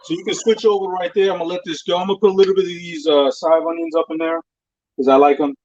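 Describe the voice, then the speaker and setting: A man's voice: on the high side, fairly even intonation, fast, a little mellow, no echo. A man cooking on a live show, informally talking through each step as he does it. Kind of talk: casual cooking demonstration chat. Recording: dull lo-fi stream audio, dry.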